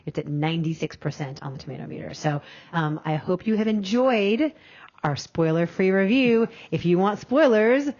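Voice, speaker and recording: A lower-pitched woman critic, talking through a film with her co-hosts. She sounds emphatic and laughs easily. The audio is muffled and lo-fi. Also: expressive, a little mellow. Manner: emphatic, quick to laugh, wrapping up the show. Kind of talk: casual review chat. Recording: dull lo-fi audio.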